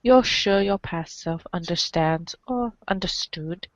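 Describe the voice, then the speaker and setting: A woman's voice: fairly low pitch, slow pace, dry recording. A woman reading second-person comic narration aloud for a podcast. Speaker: reader in a webcomic reading podcast.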